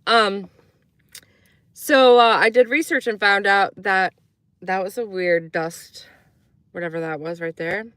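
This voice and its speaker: A woman's voice: medium pitch, fairly even intonation, a little slow, long pauses, fairly bright tone, no echo. A woman from Croatia chatting casually to a live audience, rambling with many fillers and acting out quoted voices in her stories. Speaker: live streamer. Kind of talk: casual storytelling to a live chat.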